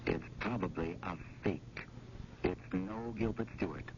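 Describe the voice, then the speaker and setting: An actor in a 1970s radio drama playing a harried, fussy museum curator who can be dry and curt. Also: male voice, sits low, takes it slowly. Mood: dry, wary. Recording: noisy, dull old radio broadcast.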